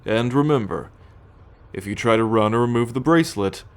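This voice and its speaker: A man playing a possessive, haughty alien soldier in an ASMR roleplay, speaking in a measured, imperious way with musing hums and sighs. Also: mid-range voice, close to an everyday voice. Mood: commanding, possessive. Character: possessive male alien soldier, haughty, teasing, coolly menacing captor. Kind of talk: ASMR roleplay acting, addressed to the listener.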